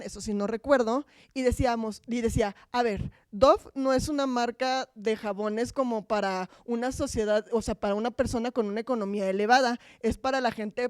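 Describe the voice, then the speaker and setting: A woman's voice: a little high, no echo, studio quality. A Mexican woman giving an informal academic talk, colloquial and wry, often posing rhetorical questions. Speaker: academic lecturer.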